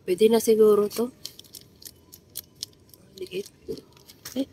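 A woman's voice: on the high side, very animated, drawn-out words, vocal fry, mellow, slight background noise. A woman in her thirties filming a casual shopping vlog on a handheld phone in a supermarket, chatty and laughing often as she talks half to herself. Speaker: vlogger. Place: large supermarket aisle. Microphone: phone camera held in hand.

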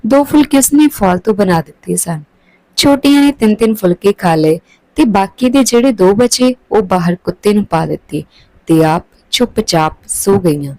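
A woman's voice: medium pitch, warm tone, dry recording, rough recording. A woman narrating a scripted story.

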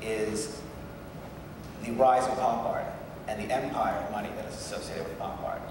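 A man's voice: fairly low pitch, normal speed, noisy background. A man in his thirties, a journalist on a panel, making a structured, articulate argument. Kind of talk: panel discussion. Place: large hall stage. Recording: echoey hall heard from far off.

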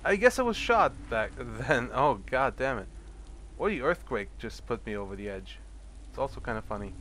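A man's voice: mid-range voice, big pitch swings, normal speed, fairly bright tone. Stuffy-nosed young man narrating a game. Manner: swearing, sarcastic, talks to enemies on screen. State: stuffy from a cold. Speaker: let's play commentator. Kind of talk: casual gameplay commentary. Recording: home recording with clear background noise.

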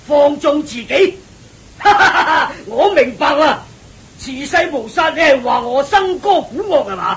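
A man's voice: extremely high pitch, animated, takes it slowly, fairly bright tone, exaggerated voice. An actor playing a sly, cowardly demon king posing as a god, with a wheedling, whining manner, in a radio drama. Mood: fawning, wheedling. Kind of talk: Cantonese radio drama acting.

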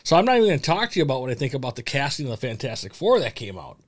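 A middle-aged American man hosting a casual podcast in an animated, rambling way, close on a studio mic. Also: medium pitch, very fast. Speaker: podcast host. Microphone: close boom-arm podcast microphone with headset.

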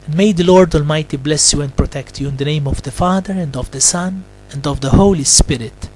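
A man, a Capuchin friar, delivers a Christmas homily and prayer in short deliberate phrases with long pauses. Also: on the high side, a little mellow, slight background noise, low-quality recording.

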